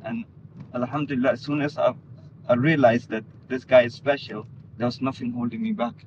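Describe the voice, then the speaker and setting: A man's voice: mid-range voice, fairly quick. Muffled, noisy recording of a man from Denmark. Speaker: interview guest. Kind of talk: earnest religious conversation. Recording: muffled lo-fi audio, clear background noise.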